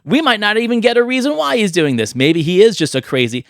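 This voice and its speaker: A man hosting a podcast, chatting casually about films and pitching ideas with animated, vivid storytelling. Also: fast talker, dry recording, clean studio sound. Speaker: podcast host. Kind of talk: casual film chat and movie pitching.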